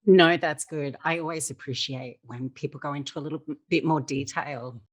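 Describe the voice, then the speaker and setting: A woman hosting an interview, asking measured and encouraging questions. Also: deep voice, steady tone. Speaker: interviewer host.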